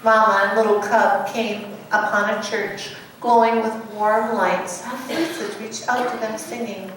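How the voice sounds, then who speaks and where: A woman reading a storybook expressively to children, addressing them directly with questions in an animated way. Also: fairly high pitch, measured pace. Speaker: children's story reader at a church. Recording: echoey room, distant mic, background noise.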